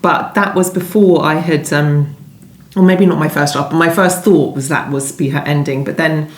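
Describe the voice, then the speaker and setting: A woman's voice: low voice, steady tone, moderate pace. A woman, a novelist being interviewed, answering conversationally and thinking aloud as she rephrases. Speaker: novelist being interviewed about her book. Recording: roomy, echoing indoor sound.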